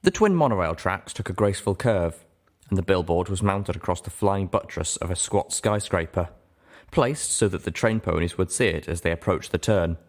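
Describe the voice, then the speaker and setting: Casting: male audiobook narrator, low pitch, measured dramatic storytelling. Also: lively intonation. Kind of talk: scripted first-person story narration.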